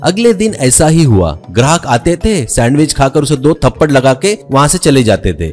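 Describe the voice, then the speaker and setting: Very animated male storyteller over music. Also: medium pitch, normal speed. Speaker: storyteller, narrator. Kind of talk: animated children's story narration.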